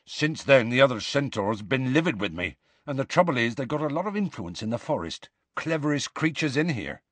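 A man voicing a huge, gruff, evasive gamekeeper with rustic colloquial speech in an audiobook. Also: mid-range voice, sing-song, a little fast, fairly bright tone, no room sound, voice pushed up. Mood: indignant. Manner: colloquial rural speech, dropped words.

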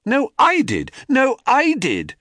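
Man doing a cheery, high-voiced nymph sister. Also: wildly swooping pitch, takes it slowly, pushed and bright, no echo, studio quality, exaggerated voice. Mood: cheery teasing.

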